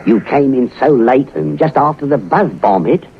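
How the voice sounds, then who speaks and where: Animated, deferential hotel waiter. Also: male voice, medium pitch, moderate pace, vocal fry, slightly rough, exaggerated voice. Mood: deferential, discreet. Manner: polite, servile, hesitant. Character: deferential, discreetly conspiratorial male hotel waiter. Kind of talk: 1940s radio drama acting. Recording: muffled old radio recording, faint noise.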